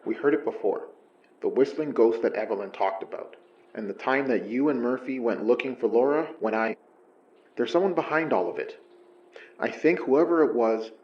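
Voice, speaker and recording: A worn, wary investigator in an audio drama, speaking in an ordinary mid-pitched voice that halts, trails off and circles back. The recording sounds a little dull. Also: male voice, husky. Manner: hesitant, trailing off and apologizing.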